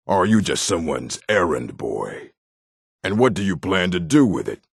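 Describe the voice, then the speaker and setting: An actor playing a gruff, scornful male orc in a fantasy video game, with a rough voice and a weighty, emphatic delivery. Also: very animated, normal speed, dry recording, studio quality, full character voice. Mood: scornful, guarded. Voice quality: deep, gravelly, rough.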